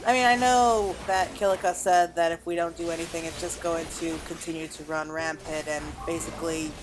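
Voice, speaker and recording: A woman's voice: fairly low pitch, steady tone, brisk, rough recording. A woman giving casual let's play commentary as a game streamer, thinking aloud and reacting as she plays.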